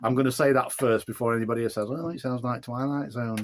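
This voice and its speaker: A man's voice: mid-range voice, steady tone, quick pace, a little hiss or hum. A middle-aged man with a broad Yorkshire accent, an author chatting casually in an interview, rambling with fillers and restarts.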